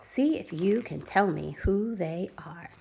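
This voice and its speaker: A woman preschool teacher reading a rhyming picture book aloud to children with expressive storytelling. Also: on the low side, slow pace, gravelly, slightly rough. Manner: expressive, sing-song storytelling.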